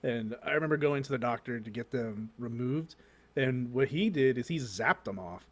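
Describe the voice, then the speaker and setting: A man's voice: medium pitch, moderate pace. A man co-hosting a podcast in casual, joking chat, with some swearing and the odd vocal sound effect. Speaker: podcast co-host.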